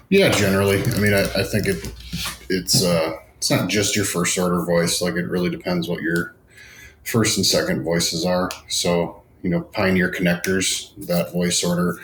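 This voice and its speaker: A man speaking as a panelist, explaining things casually and conversationally. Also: low voice. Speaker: panelist at a law firm business. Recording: roomy echo, noticeable noise.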